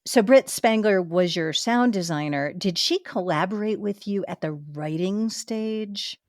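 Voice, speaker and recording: A woman's voice: mid-range voice, unhurried, no room sound, clean studio sound. A woman hosting a podcast interview, enthusiastic and conversational, asking about craft. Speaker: podcast host. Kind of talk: casual interview chat.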